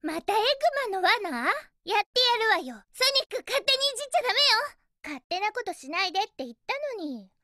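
A woman's voice: very high voice, very animated, a little fast, bright, forceful tone, heavily acted. A woman playing a spirited, bossy young hedgehog heroine in a Japanese video game, girlish and feisty, with cutesy emphatic delivery. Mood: exasperated, scolding.

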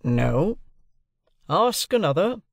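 A man's voice: fairly high pitch, very animated, slow, vocal fry, no echo, studio quality, voice pushed up, exaggerated voice. A man voicing a brusque, domineering middle-aged lawyer for an audiobook, with an emphatic, cross-examining manner. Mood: interrogating. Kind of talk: audiobook character dialogue.

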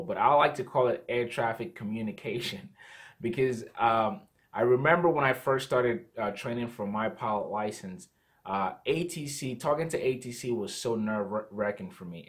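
A young man talking casually to camera as a host and pilot, explaining in plain words. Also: medium pitch. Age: young adult. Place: small indoor room at home.

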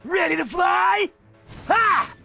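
A man voicing a brash, hot-headed young gangster with a punchy, forceful delivery in an animated manga dub. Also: extremely high pitch, slow, vocal fry, soft background music, exaggerated voice. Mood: surprised, eager.

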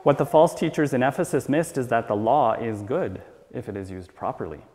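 A middle-aged man reads a scripted sermon in a measured, formal way from a church lectern. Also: mid-range voice, a little mellow. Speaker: church elder reading a sermon. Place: church hall, at a wooden lectern. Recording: long church hall reverb.

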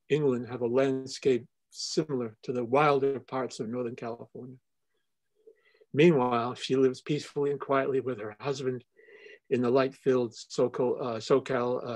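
A man's voice: medium pitch, a little mellow. An older man reading a poem and introducing the next poet at an online reading, stumbling over words with restarts. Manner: halting, with restarts and fillers. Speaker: poetry reading emcee. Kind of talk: reading poetry and introducing poets. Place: home study with bookshelves. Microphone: laptop webcam on video call.

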